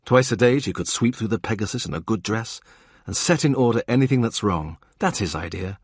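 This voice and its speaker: A man narrating a historical novel audiobook in a calm, even storytelling manner. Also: on the low side, fairly quick, dry recording, clean studio sound. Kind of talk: scripted narration.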